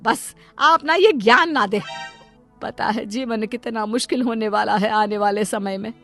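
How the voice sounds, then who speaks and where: Woman playing a high-voiced, fussing cartoon mother. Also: unhurried, a bit bright, soft background music. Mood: pleading, protective. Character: doting, self-pitying middle-aged Indian mother, overprotective of daughter. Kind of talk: Hindi cartoon moral story acting.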